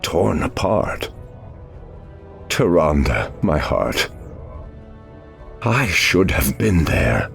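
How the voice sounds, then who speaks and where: Fantasy game archdruid: grave, commanding, theatrical, gravelly and slow, over music. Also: male voice, very deep voice, very animated, a bit bright, full character voice. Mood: grieving. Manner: solemn, theatrical, emphatic. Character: anguished, noble male archdruid, grave and commanding. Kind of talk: dramatic game cutscene acting.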